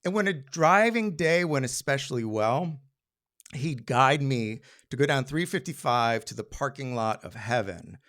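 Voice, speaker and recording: An American man of middle age tells a personal story to a live audience. His mid-pitched voice is very animated, rising and dipping widely. He talks in a wry, conversational way and briefly acts out the people he quotes. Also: a little slow. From Maryland, United States.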